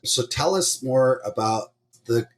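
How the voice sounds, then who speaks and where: Upbeat male podcast host, lively pitch. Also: slow, low-quality recording. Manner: upbeat, enthusiastic. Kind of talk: scripted intro, then casual interview.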